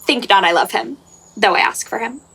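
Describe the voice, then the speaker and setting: A proud young shepherdess played by an actress with a high voice. She speaks in declamatory Shakespearean radio style, haughty, disdainful and petulant. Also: animated, moderate pace, creaky, sharp tone, slightly rough, heavily acted, low-quality recording. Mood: petulant, smitten. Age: young adult. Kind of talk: Shakespearean radio play acting.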